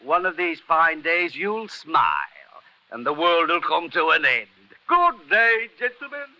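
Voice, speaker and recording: A man's voice: a little high, very animated, a little slow, sharp tone, a little hiss or hum, full character voice. An actor playing a chatty, jovial village postman in a 1940s radio drama, bantering and boastful. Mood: jaunty. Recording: dull lo-fi 1940s radio recording.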